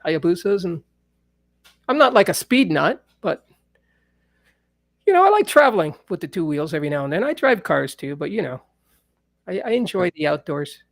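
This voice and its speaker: A man with a high speaking voice in a casual, playful, teasing chat as he hosts another musician. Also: sing-song, moderate pace, creaky, pauses often, fairly bright tone, dry recording, studio quality.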